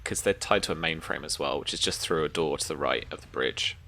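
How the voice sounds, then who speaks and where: A woman's voice: very deep voice, brisk, fairly bright tone. A woman running a tabletop roleplaying game, speaking casually and improvising with some hesitation. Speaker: game master.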